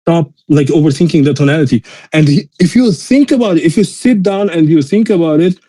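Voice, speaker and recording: A man's voice: a little high, expressive, a little fast, dark tone. A man from Austria, a native German speaker with a mixed European accent in English, talks casually and motivationally in a rambling, emphatic way, peppered with bro slang.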